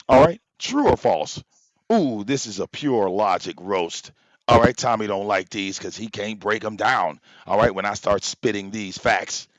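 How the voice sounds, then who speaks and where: Loud, argumentative man ranting on a livestream. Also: fairly low pitch, expressive, a little slow, no echo. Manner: argumentative, fires rhetorical questions, profane. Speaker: livestream host. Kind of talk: confrontational live-stream rant. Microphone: popping mic. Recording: dull, slightly lo-fi, dry sound.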